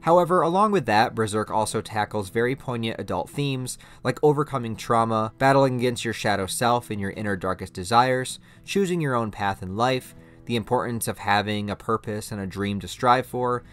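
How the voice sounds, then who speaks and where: A man's voice: mid-range voice, moderate pace, few pauses, a bit bright, faint music behind. A man hosting a video essay, explaining things in an emphatic, conversational way with scripted commentary. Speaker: video host reviewing anime.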